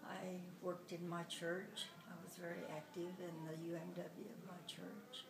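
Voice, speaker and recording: A woman speaks slowly and carefully, her low voice edged with a gravelly fry. She is recorded from a distance in a roomy, echoing space. Background noise is clearly audible. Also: level tone. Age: 90s. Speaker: interviewee. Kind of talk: slow interview answers.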